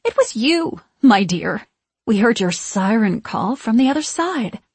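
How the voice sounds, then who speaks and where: A woman voicing a vain, scheming witch from centuries past, crooning and needling in a slow, theatrical manner for an audiobook. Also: a little high, very animated, slightly rough, no echo, exaggerated voice. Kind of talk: audiobook character voice.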